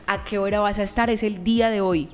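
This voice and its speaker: A woman hosting a radio interview in a lively, chatty way. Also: medium pitch, fairly quick, soft background music. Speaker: radio host.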